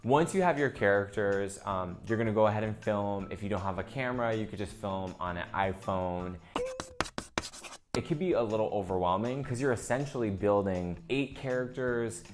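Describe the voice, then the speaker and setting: A man's voice: on the low side, moderate pace. A man giving a casual, conversational lesson as a comedy instructor, often dropping "like" into his sentences. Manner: conversational, peppered with "like". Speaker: comedian teaching character reels. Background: faint music.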